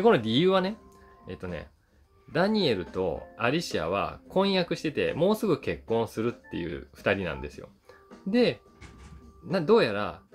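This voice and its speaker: A man in his forties chatting casually to camera as he reviews a film, conversational and emphatic. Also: medium pitch. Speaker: YouTube host reviewing films, voice actor. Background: background guitar music.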